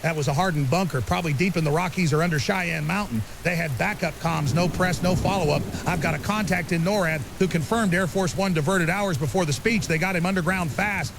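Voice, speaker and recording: High-pitched, gravelly doomsday conspiracy theorist played by a man. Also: a little fast, few pauses, natural voice. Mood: ominous, certain. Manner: emphatic, ominous, certain, clipped lists. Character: grim, urgent conspiracy theorist man warning of apocalypse. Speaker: interview guest. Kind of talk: fictional apocalypse podcast interview. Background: rain and faint hiss.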